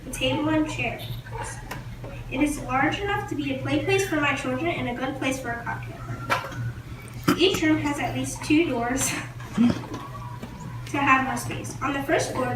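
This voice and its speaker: A woman's voice: high voice, natural voice. A woman playing a proud colonial widow printer. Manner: reads a prepared script, tripping over words. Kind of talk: first-person historical role-play. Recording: roomy, distant mic, noisy background.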